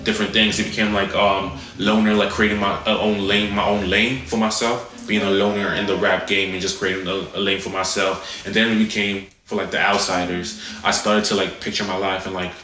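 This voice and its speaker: A young South African man talks in a low, level voice, rambling with fillers. He sounds distant and echoey, with loud music playing under him. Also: flat delivery, a little fast, hardly any pauses. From Congolese, raised in South Africa. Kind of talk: reflective interview talk.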